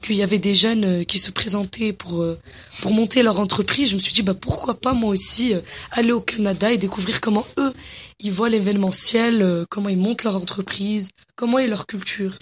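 A young woman from Morocco answering interview questions eagerly, often pausing and restarting her sentences. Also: mid-range voice, steady tone, a little hiss or hum. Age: young adult. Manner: enthusiastic, many hesitations and restarts.

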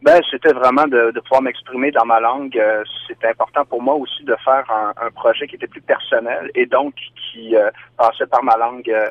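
A Québécois man speaks thoughtfully and at length, explaining his ideas in a measured way. His voice comes through a tinny, phone-like line. The sound is dry and close, with no room around it. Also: medium pitch, fairly even intonation, dry recording. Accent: Québécois French. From Quebec, Canada. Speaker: singer-songwriter guest. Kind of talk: reflective interview answers.

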